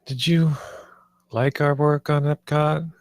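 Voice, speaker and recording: A man's voice: on the high side, steady tone, takes it slowly, no echo. A man reading the part of a downcast, subdued creative developer at a screenplay table read.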